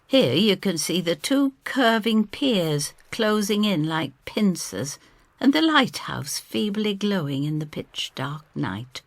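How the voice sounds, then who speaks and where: A woman giving expressive, theatrical first-person narration of a scripted story. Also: mid-range voice, slow, no echo. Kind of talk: scripted dramatic narration in character.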